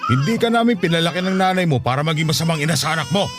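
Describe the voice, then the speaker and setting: A man's voice: fairly high pitch, animated, very fast, natural voice. A man playing a stern grandfather in a radio drama, admonishing his family. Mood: reproachful. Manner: admonishing, indignant. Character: stern, scolding grandfather, tender to the baby. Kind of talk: Tagalog radio drama acting. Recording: loud music under the voice.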